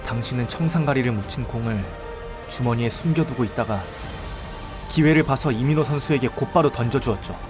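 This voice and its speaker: Male actor as young detective, composed and relentless, polite yet dramatic, medium pitch, faint music bed. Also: lightly acted. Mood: pressing, accusatory. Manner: polite, deliberate, accusatory, dramatic. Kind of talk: audio drama acting with first-person narration.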